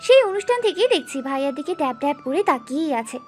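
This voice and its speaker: A woman voicing a nosy young sister-in-law in a romantic audio drama. The voice is extremely high and swoops around with cheeky, gossipy teasing. Light music plays behind. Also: sing-song, very fast. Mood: teasing curiosity. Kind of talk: audio love story acting.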